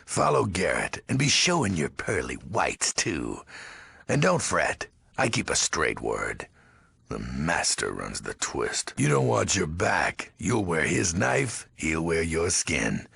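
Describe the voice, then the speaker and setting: An actor playing a menacing, crazed undead ghoul gang boss in a video game, very raspy and gravelly, talking in clipped street slang. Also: male voice, low-pitched, wildly swooping pitch, slow, pushed and bright, no room sound, full character voice. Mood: gruff, commanding. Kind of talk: video game character acting.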